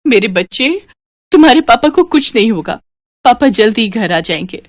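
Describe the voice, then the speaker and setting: A woman's voice: on the high side, big pitch swings, normal speed, no room sound, clean studio sound. A woman voicing a worried but determined young mother in a cartoon story, speaking earnestly as if thinking aloud. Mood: tender.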